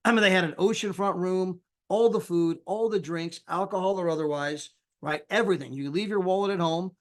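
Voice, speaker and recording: A man giving an energetic sales pitch on a live call, casual and folksy, acting out the people he quotes. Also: fairly quick. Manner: animated, acts out quoted people with big pitch jumps. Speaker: sales presenter.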